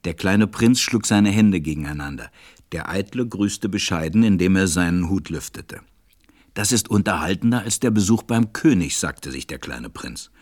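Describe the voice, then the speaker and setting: A man with a low voice narrates a storybook as part of a radio play. He tells it in a measured way, recorded close and dry. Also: animated, dry recording.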